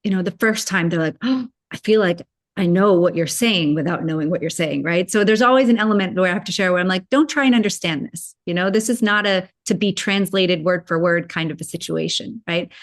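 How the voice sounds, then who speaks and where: Rambling, lower-pitched German-born woman. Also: a little fast. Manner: rambling, many fillers and restarts. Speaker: guest, spiritual channel. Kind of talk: casual conversational chat.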